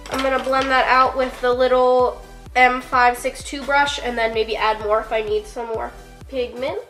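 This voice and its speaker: A woman's voice: a little high, level tone, normal speed, a bit bright, soft background music. A young woman with a congested, cold-stuffed voice, chatting casually through a makeup tutorial with lots of fillers and the odd curse. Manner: chatty, filler-heavy, offhand swearing. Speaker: beauty vlogger.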